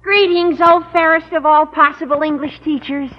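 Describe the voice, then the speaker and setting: A man's voice: very high-pitched, fairly even intonation, normal speed. A man playing an eager, gushing, earnest American teenage schoolboy in old radio comedy. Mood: eager, cheeky. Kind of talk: 1940s-50s radio comedy acting. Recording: muffled, hissy, reverberant old radio recording.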